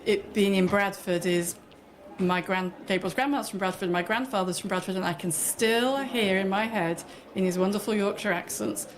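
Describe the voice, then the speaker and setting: A woman's voice: medium pitch, normal speed, a little hiss or hum. A woman guest talking earnestly on a radio show about storytelling workshops. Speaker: author and workshop leader, guest. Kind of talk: radio interview.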